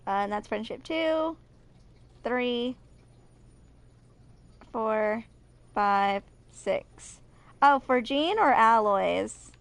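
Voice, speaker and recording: A woman in her twenties with a fairly high voice. She gives casual gaming commentary, chatting with viewers and reading aloud a little unevenly. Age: 20s. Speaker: gaming video host.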